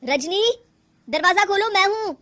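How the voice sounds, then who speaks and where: A woman voicing a gentle young husband who coaxes and questions his wife. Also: very high voice, lively intonation, unhurried, pitched above the performer's own voice, exaggerated voice. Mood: earnest. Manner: coaxing, earnest.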